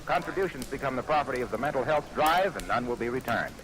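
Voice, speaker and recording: Fast-talking male 1940s radio announcer. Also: medium pitch, expressive. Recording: noisy vintage 1940s radio broadcast.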